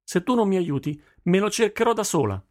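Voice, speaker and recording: A man's voice: a little high, big pitch swings, normal speed, darkish tone, no echo, studio quality, voice pushed up. A man voicing a stubborn, fiery thirteen-year-old girl in an audiobook, with an insistent, youthful manner. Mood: defiant, piqued. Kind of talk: audiobook character voice.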